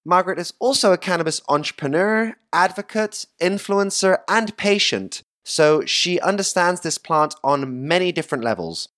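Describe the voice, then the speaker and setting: A man's voice: a little high, fairly bright tone. Upbeat man with a mid-Atlantic accent. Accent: mid-Atlantic with slight American twang. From Luxembourg. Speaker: podcast host. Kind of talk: scripted intro and interview.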